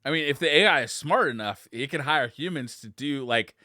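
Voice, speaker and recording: Animated, bright-voiced man chatting. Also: medium pitch, fairly quick, dry recording, clean studio sound. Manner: animated, profane, joking. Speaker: podcast co-host. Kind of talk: casual chat.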